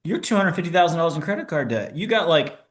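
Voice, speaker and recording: A man's voice: fairly high pitch, animated, quick pace. A middle-aged man, an entrepreneur, telling his own story candidly in a casual interview, poking fun at himself and stumbling into restarts now and then. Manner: candid, self-deprecating, with stammered restarts. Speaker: podcast guest, entrepreneur.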